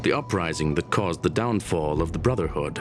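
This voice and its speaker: A man's voice: sits low, lively intonation, a little fast, music in the background. An actor playing a composed, learned man in a video game, explaining grave matters in a formal, informative way. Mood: serious, informative. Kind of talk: scripted video game dialogue.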